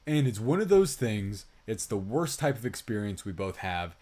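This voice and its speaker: Casual, animated man hosting a film podcast. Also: on the low side, normal speed. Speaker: film review podcast host. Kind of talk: casual film review chat.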